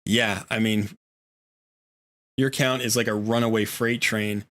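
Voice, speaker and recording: A man's voice: on the low side, steady tone, a little slow, a bit bright. A man hosting a gaming livestream, chatting casually in a jokey, talkative way. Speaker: livestream host.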